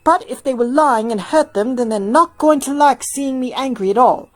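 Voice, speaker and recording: A woman's voice: high voice, moderate pace, voice pushed up, natural voice. A woman voicing an earnest young woman who manages a zoo, in an audiobook-style story reading. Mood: serious.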